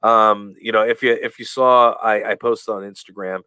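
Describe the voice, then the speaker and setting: A man being interviewed on a podcast, telling stories in a rambling way, full of fillers and restarts, and acting out quoted lines with lively voices. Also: lowish voice, normal speed, smooth, no echo. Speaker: guest, professional wrestler. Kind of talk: casual storytelling in a podcast interview.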